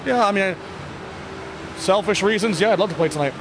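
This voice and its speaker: A man in his thirties speaks quickly in a fairly high voice, with clear venue noise behind him. He is a coach talking candidly after a game. His delivery is plain and halting, doubling back on words. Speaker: ice hockey bench coach giving a post-game interview. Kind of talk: post-game interview, casual and candid. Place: indoor sports hall, blue block walls. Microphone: handheld interview microphone. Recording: noisy venue with background ambience.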